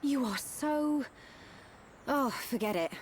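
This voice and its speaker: A woman playing a brisk, easily exasperated young adventurer in a video game, plain-spoken and inclined to scold. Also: sits high, animated, a little hiss or hum. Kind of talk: video game cutscene acting.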